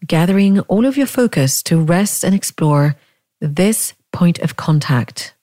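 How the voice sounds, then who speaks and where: A woman's warm, mellow voice guides a meditation at an unhurried crawl, and she leaves long pauses between gentle, inviting questions. The recording is close and dry, with no room sound. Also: fairly low pitch, lively intonation, no room sound. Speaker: meditation teacher.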